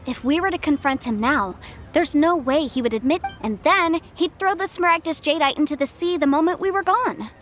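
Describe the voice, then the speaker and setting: A woman's voice: sits high, normal speed, a bit bright. A young woman voicing a legal adviser character in a game cutscene, speaking in a composed, precise, businesslike way. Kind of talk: video game cutscene voice acting. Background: faint game music.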